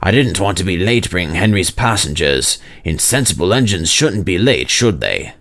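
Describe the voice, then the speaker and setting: Storybook steam engine, male, played by a man: proud, fretful, huffy, with animated pitch. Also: moderate pace, full character voice. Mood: nervous, apologetic. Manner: fuming, huffy.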